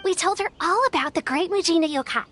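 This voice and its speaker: A woman's voice: very high-pitched, fast talker, very noisy. A woman voicing a small, hopeful, trusting child in a video game.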